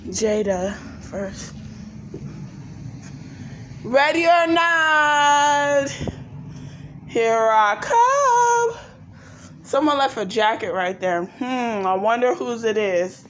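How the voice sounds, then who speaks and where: A woman's voice: fairly high pitch, a little hiss or hum. A young woman, playfully filming a hide-and-seek game on a handheld phone as she walks through an empty house. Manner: playful, teasing, sing-song. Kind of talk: casual handheld vlog. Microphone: phone held in hand while walking.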